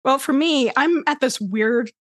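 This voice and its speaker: A woman's voice: high voice, fairly bright tone, dry recording. Thoughtful woman tarot teacher, dry sound. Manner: thoughtful, halting, restarts often. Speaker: podcast guest, tarot reader and teacher. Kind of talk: casual podcast chat.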